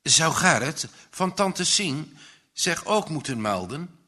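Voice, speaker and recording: A man's voice: fairly high pitch, sing-song, slow, bright, forceful tone, exaggerated voice. A man reading a storybook as a startled, concerned mother speaking earnestly.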